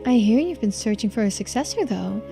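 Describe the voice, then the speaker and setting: A woman voicing a poised, unflappable female investigator in a video game, polite and gently needling in measured, formal phrases. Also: fairly high pitch, expressive, vocal fry, music in the background. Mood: teasing. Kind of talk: game character voiced in a playthrough.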